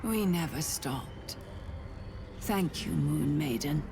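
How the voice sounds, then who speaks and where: Creaky, low frail loving mother. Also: animated, slow, background noise, exaggerated voice. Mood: tearfully grateful. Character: frail, devout older mother, tender and weary. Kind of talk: dramatic video game acting.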